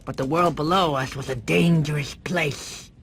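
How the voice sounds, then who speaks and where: A man's voice: on the high side, steady tone, normal speed. A man voicing a goofy, sentimental cartoon sloth, telling a story in an eager, rambling way. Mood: storytelling. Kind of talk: animated game voice acting, storytelling. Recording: loud music under the voice.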